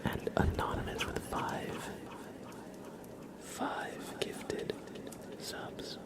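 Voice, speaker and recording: A man hosting an ASMR livestream, chatting casually to viewers in a soft, breathy, gentle whisper close to the microphone. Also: drawn-out words.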